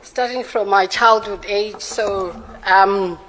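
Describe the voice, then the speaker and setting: A Zimbabwean woman in her forties answering formal interview questions in a measured, careful and hesitant way, with a Zimbabwean accent. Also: medium pitch, steady tone, a bit bright, slight background noise. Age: 40s. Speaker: candidate for judge being interviewed. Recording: reverberant hall sound.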